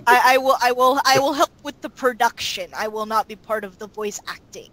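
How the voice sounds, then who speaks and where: A woman's voice: a little high, brisk, fairly bright tone, no room sound, low-quality recording. A woman chatting casually in a group interview as a voice actor. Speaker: voice actor and series co-creator. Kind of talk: casual chat.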